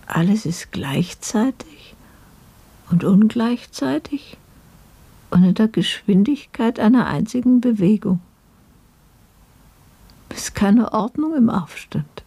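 A contemplative female radio play narrator. Her voice is very husky and breathy with a gravelly edge. She moves slowly, weighing each phrase in a dark tone. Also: mid-range voice, heavily acted. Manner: measured, contemplative. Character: reflective woman narrator recalling a servants' uprising.

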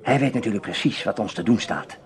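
A man playing a cool amateur investigator in a radio drama, questioning in a terse, measured manner. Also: lowish voice, expressive, close to an everyday voice. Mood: serious, decisive. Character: cool, probing male amateur investigator named Tim.

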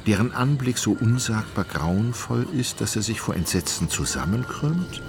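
A man's voice: lowish voice, gravelly, background noise. A man narrating a literary radio drama as scripted storytelling.

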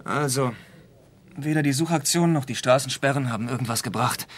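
A man's voice: mid-range voice, brisk, close to an everyday voice. An actor playing a dry, sarcastic police officer reporting to his superior in a radio drama. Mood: dry, sardonic.

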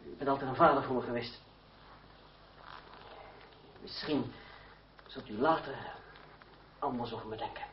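An actor playing a proud, cool young gentleman fencing master in a radio play, speaking formally with measured irony. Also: medium pitch, close to an everyday voice. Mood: wounded, respectful. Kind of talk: Dutch radio play acting. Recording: dull, noisy old radio recording.

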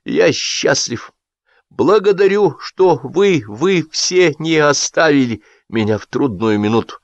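A man voicing a drunk, sentimental older host giving a pompous toast in a slow, drawn-out way. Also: a little high, expressive, no echo, pitched above the performer's own voice. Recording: dull dry lo-fi recording.

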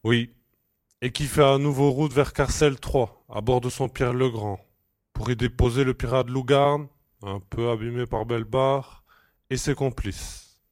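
A man plays a commanding admiral of a space fleet in an audio drama. He is courteous and gently teasing, with measured, articulate phrasing. The voice sits at a medium male pitch in a dry, close recording. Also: fairly even intonation, no echo, natural voice. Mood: warmly approving. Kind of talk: French science fiction audio drama dialogue.

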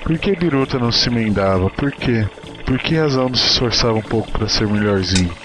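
A man's voice: mid-range voice, expressive, quick pace, poor audio. A Brazilian man giving a dramatic reading of a short story as its narrator. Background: music.

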